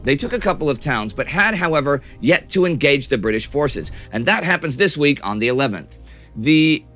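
A man's voice: fairly high pitch, big pitch swings, normal speed, a bit bright, faint music behind. A middle-aged man hosting a history show, reading scripted narration with emphatic, dramatic storytelling delivery. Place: small furnished study set, indoors.

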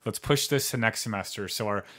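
A man's voice: lowish voice, a little fast. Laid-back man in his thirties, rambling. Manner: relaxed, rambling, trails off often. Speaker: podcast co-host. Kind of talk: casual podcast chat. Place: home studio with record shelves. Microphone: studio mic on a boom arm.

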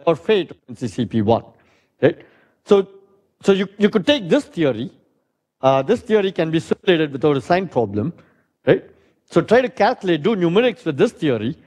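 A middle-aged man with a loud, fairly high voice lectures in a hall. He thinks aloud, dotting his talk with "uh" and "right?", and paces at the front. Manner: thinking aloud with many "uh"s and tag questions. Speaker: physics lecturer. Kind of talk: academic lecture.

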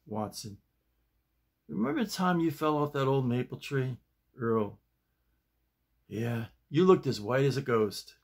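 Man around 70, medium pitch, unhurried and halting, plain home-recorded read or chat. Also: animated, gravelly, breathy edge. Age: older, around 70. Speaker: YouTube host and playwright reading own work. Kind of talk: script reading and casual vlog talk. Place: small home office, indoors.

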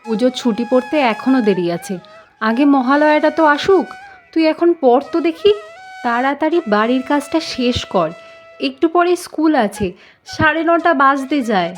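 A woman's voice: high voice, expressive, normal speed. A woman playing a caring but firm mother in a cartoon, coaxing and chiding affectionately. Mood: coaxing, brisk. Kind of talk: cartoon voice acting. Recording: music under the voice.

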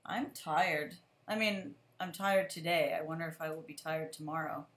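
A woman teacher hosting a casual chat with a guest over a video call, relaxed and chatty. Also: deep voice, normal speed. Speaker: teacher and show host. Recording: echoey room.

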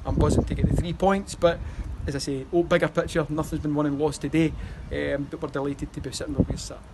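A man's voice: on the high side, flat delivery, fast, darkish tone, slight background noise. A Scottish man in his thirties, a football manager giving a post-match interview outdoors, talking in rambling runs with fillers and restarts. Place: outdoors by a stadium wall.

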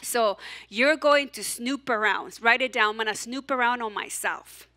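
Bright-voiced woman pastor with an accent. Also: a little high, moderate pace. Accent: non-native English. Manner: animated, encouraging, audience call-and-response. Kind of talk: sermon. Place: church stage, large hall.

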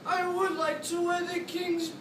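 Performer playing slow, high-pitched hungry tiger, echoey room. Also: little pitch movement. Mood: eager, wheedling. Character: hungry, gullible tiger, wheedling and blustering. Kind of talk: children's readers theatre performance. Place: classroom, indoors. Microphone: distant camera. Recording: echoey classroom from far, noisy.

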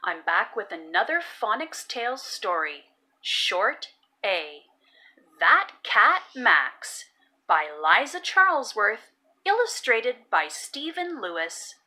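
Woman, children's read-aloud host, bright forceful tone, very slow delivery, emphatic word-by-word enunciation, expressive storytelling. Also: fairly high pitch. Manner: emphatic, enunciating words for young learners.

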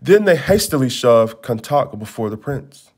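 A man narrates a storybook in a read-aloud style. His voice sits at a medium pitch, and his phrasing is expressive and dramatic. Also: moderate pace.

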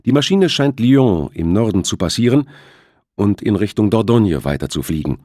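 A man's voice: fairly low pitch, animated, normal speed, mellow, no echo, studio quality, pitched above the performer's own voice. A man voicing a businesslike French police official giving clipped reports in an audiobook.

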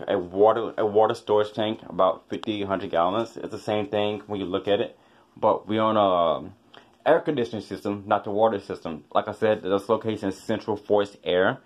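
A man who is a house inspector and HVAC tech, giving a casual, rambling walkthrough with offhand, dismissive asides, filmed on a handheld phone outdoors beside a house and in a basement utility room. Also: on the low side. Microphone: handheld phone camera.

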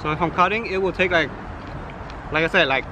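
A man's voice: fairly high pitch, brisk. A man in his thirties, a home grower explaining the steps plainly in a yard, recorded outdoors. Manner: plain, step-by-step explaining with fillers. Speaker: guest pomegranate grower. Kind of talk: casual explaining. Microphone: camera held by another person a few feet away. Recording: outdoor recording with traffic noise.

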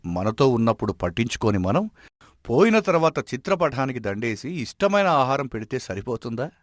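A man playing a wise, respected village elder in an animated moral story, giving advice in a measured, admonishing way. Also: medium pitch, no echo, studio quality, lightly acted. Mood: calm advising.

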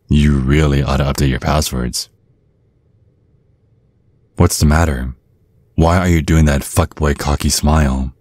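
A man plays a genteel, menacing old vampire dad in an ASMR roleplay. His voice is extremely low and recorded very dry and close. He talks down to his daughter's suitor with polished, sly threat. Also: a little mellow, dry recording. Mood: sly menace. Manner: slyly threatening, condescending, mock-polite. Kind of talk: ASMR roleplay, scripted monologue.

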